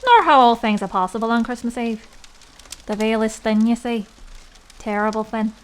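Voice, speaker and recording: A woman's voice: a little high, normal speed, close to an everyday voice. A woman playing an earnest villager in an audio drama, speaking in a folksy, emphatic, warning manner. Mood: ominous warning. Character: earnest, foreboding Irish village storytelling woman. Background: music.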